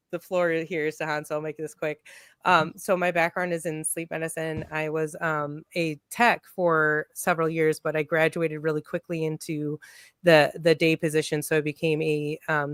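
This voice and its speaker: A man's voice: fairly high pitch, level tone, moderate pace, a bit bright, clear, resonant tone, no room sound, studio quality. A man speaking as a guest on a casual video-call panel, rambling and upbeat with plenty of fillers. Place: home room on video call.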